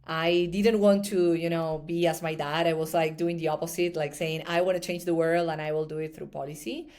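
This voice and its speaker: A woman's voice: deep voice, steady tone, brisk, a little mellow. A woman, a startup founder answering interview questions thoughtfully, with many fillers and restarts. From Argentina.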